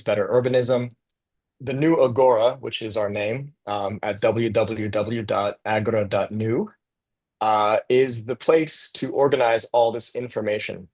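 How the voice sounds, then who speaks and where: A man's voice: mid-range voice, darkish tone. A man from the United States, presenting as a guest architect over a video call in a casual, enthusiastic way with some fillers.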